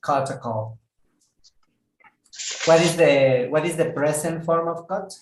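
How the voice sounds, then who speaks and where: A man teaching an English lesson to a class, speaking slowly and carefully and repeating words so students can follow. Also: fairly high pitch. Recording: echoey room.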